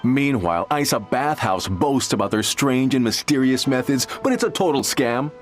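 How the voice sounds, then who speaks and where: A man's voice: mid-range voice, lively intonation, moderate pace, soft background music, close to an everyday voice. An actor playing a smug, confiding male client in a game who passes on gossip with confidence. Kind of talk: game voice acting.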